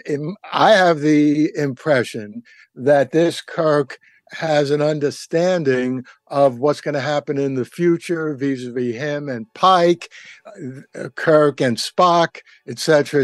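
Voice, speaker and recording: Higher-pitched older man, dry sound. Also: no echo. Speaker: panel guest. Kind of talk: casual discussion.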